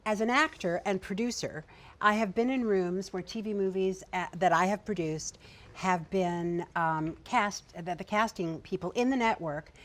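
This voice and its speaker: A woman with a young-sounding voice, a writer and producer telling irreverent stories on a discussion panel, energetic and quick to laugh. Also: medium pitch.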